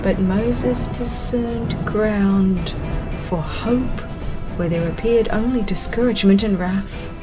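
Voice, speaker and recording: Woman narrating a dramatized religious audiobook, takes it slow n solemn, music clearly playing behind her. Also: mid-range voice. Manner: solemn, measured, expressive Bible-reading delivery. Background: background music.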